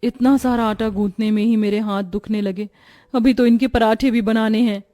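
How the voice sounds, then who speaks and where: A woman's voice: a little high, fairly even intonation, moderate pace, warm tone, dry recording, clean studio sound, soft background music, lightly acted. A woman voicing an overworked young new bride who grumbles plaintively in a cartoon story. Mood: weary, complaining. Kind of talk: animated moral story dialogue.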